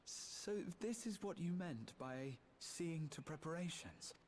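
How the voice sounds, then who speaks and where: A man's voice: fairly high pitch, animated, takes it slowly, a little mellow, a little hiss or hum. A man voicing an urbane, inquisitive adventurer in a video game, with a formal and probing way of speaking. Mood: curious. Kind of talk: video game cutscene acting.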